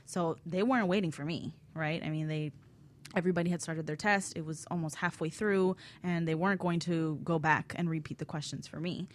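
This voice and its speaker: A woman telling a personal story on a talk show, acting out her own past thoughts in a lively way. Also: deep voice, fairly quick. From Miami. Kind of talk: casual storytelling.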